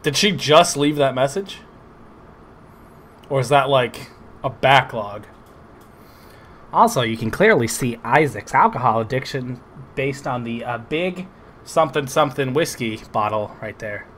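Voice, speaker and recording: Excitable swearing man commenting on a game. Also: medium pitch, unhurried, pauses often, slight background noise. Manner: loud, excitable, swearing, sarcastic. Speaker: gameplay commentator. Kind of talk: casual let's play commentary.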